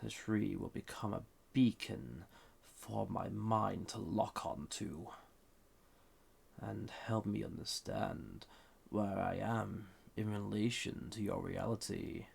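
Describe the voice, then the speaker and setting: Deep gravelly, flirtatious banished villain. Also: male voice, exaggerated voice. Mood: conspiratorial, persuasive. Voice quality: deep, gravelly, husky, breathy. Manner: slow, deliberate, softly condescending, formal. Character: banished male villain, suave, flirty, slyly dangerous. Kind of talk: scripted roleplay audio drama.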